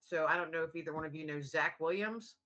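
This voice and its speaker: An American woman guest on a podcast, upbeat and encouraging, with a low raspy, gravelly voice. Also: fast talker, sharp tone, lo-fi sound. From United States. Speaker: guest, author. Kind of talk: casual chat.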